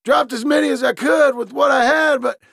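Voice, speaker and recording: High, gravelly, defiant outlaw man. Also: steady tone, brisk, a bit bright, no room sound, shouting, clean studio sound, heavily acted. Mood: strained, boastful. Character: rough, defiant young male outlaw gunslinger. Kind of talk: western video game acting.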